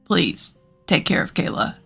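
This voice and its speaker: A woman's voice: a little slow, pushed and bright, soft background music. A woman voicing a grave, formal older father in a narrated family story. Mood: apologetic, grave.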